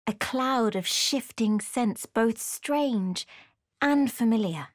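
A woman narrating a fantasy game as a solemn storyteller, in a measured, dramatic manner. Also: on the high side, no room sound, studio quality.

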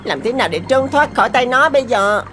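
A woman's voice: on the high side, lively intonation, fairly quick, a bit bright, a little hiss or hum. A woman voicing a tiny, plucky but anxious young boy in a children's cartoon, musing aloud expressively. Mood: frightened, anxious.

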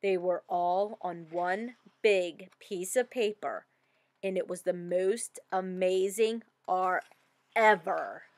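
A woman reading a children's story aloud with expressive, dramatic emphasis. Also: lowish voice, slow, dry recording. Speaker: storybook reader.

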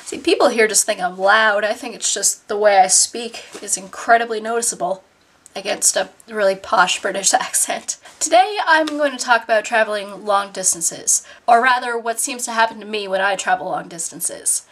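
A woman in her twenties with a Canadian accent, telling stories casually to camera in an animated, self-deprecating, sardonic manner. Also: mid-range voice, normal speed. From Nova Scotia, Canada. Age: 20s. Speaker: vlogger. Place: small bedroom, indoors.

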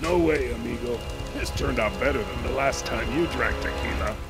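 Gravelly, gruff mercenary, acted by a man. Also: mid-range voice, normal speed, over loud music, natural voice. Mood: dry, gruff. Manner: terse, dry. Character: gruff, battle-hardened, dry-humored male mercenary. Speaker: game character, mercenary leader. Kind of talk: dramatic video game acting.

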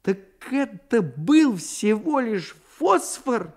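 Man putting on a fiery bossy girl, high-pitched. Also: big pitch swings, slow pace, darkish tone, full character voice. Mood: outraged. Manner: indignant, exclaiming, demanding.

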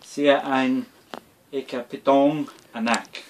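A young man in a dim bedroom slowly reading a bedtime story aloud by a crib, carefully sounding out and stumbling over words, caught on a nearby phone camera. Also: medium pitch, fairly even intonation, a little hiss or hum, poor audio. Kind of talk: halting read-aloud in a second language.

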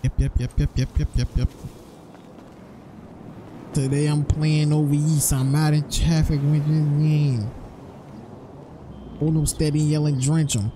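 A man's voice: a little high, little pitch movement, unhurried, long pauses, noisy background. A man streaming an online role-play game, chatting casually in slangy, repetitive phrases.

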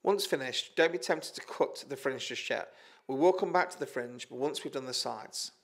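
A man with a British accent calmly narrating a step-by-step hairdressing tutorial. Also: medium pitch, moderate pace. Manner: calm, methodical instruction with small restarts. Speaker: hairdressing educator. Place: studio with a plain grey backdrop.

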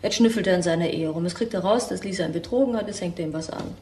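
A woman's voice: fairly low pitch, quick pace, darkish tone, lightly acted. An actress playing an anxious woman who distrusts the police, in a crime radio drama. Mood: relieved, anxious. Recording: echoey room, faint background noise.

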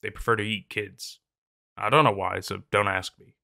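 A man voicing a gruff, weary ship captain who talks bluntly and dismissively. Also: lowish voice, fairly even intonation, normal speed, bright, forceful tone, no room sound, studio quality.

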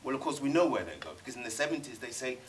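A man's voice: mid-range voice, sing-song, racing, slight background noise. A middle-aged man on a panel in a cinema, speaking thoughtfully and emphatically, often restarting his sentences. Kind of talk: panel discussion. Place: cinema screening room with audience.

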